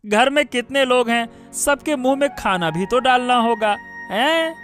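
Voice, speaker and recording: Man as a sneering, very high-pitched village minister. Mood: mocking, taunting. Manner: taunting, drawn-out questioning tags. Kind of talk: Hindi cartoon moral-story dialogue. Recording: music bed under the voice.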